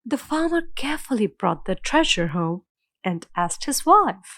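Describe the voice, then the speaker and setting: A woman narrating a scripted children's story as storyteller, phrasing it clearly and dramatically. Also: mid-range voice, sing-song, unhurried, a bit bright, no echo, clean studio sound.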